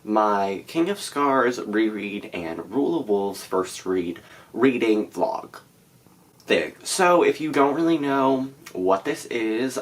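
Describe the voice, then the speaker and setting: Stuffy-nosed teen boy chatting. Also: fairly low pitch. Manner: chatty, rambling with many fillers. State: stuffy nose from a cold. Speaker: book vlogger. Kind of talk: casual chat to camera.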